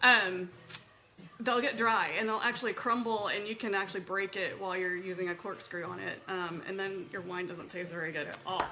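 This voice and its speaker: A woman's voice: mid-range voice, steady tone, fairly quick, background noise. A woman hosting a casual wine-tasting chat, talking in a rambling, friendly way with frequent laughs and asides. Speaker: show host.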